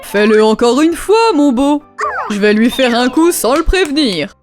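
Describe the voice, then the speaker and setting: A woman plays a cartoon orc dad, playful and bossy, in a high voice. The lines come in rhyme for small children, with clear music behind. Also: big pitch swings, unhurried. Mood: coaxing, mischievous. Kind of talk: rhyming children's cartoon dialogue.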